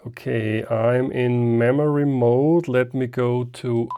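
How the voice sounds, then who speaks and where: Man, German speaker, unhurried pace, mellow tone, casual hands-on demo, thinks aloud. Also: mid-range voice, steady tone. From Austria. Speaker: ham radio reviewer. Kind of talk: casual unscripted hands-on gadget demonstration.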